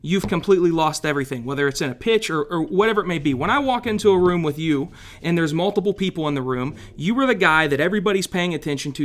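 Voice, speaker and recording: High-voiced, eager male podcast host. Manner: enthusiastic, admiring. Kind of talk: interview questions.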